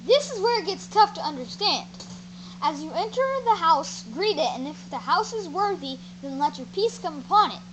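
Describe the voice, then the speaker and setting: A woman's voice: very high-pitched, animated, normal speed, background noise. A woman playing Jesus as a friendly teacher in an amateur Bible audio drama, reciting scripture with chatty asides. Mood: instructing. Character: Jesus, a male teacher, friendly and jokey with disciples.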